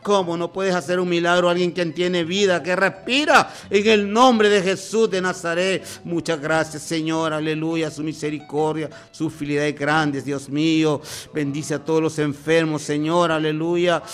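Fervent high-voiced man praying. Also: level tone, normal speed. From former drug and alcohol user. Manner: repeats "Señor" and "aleluya" in an impassioned, pleading rhythm. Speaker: preacher leading prayer. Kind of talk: fervent spoken prayer.

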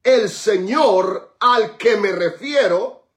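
A man preaching a sermon, calling on the congregation to repeat after him and slowing right down to stress scripture. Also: sits high, a bit bright. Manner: preaching with call-and-response, dramatic slowed emphasis on scripture. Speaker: pastor.

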